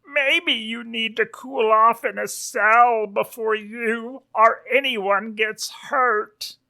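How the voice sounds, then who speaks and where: Western storybook pig sheriff, played by a man: authoritative, condescending, American drawl, unhurried, pitched up. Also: steady tone, vocal fry, sharp tone, heavily acted. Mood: cool, threatening. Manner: slow, folksy lawman drawl, condescending.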